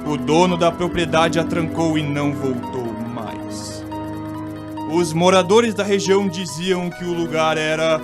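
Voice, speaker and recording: A man's voice: a little high, steady tone, measured pace. A man with a Brazilian accent giving dramatic scripted narration of a ghost story. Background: music.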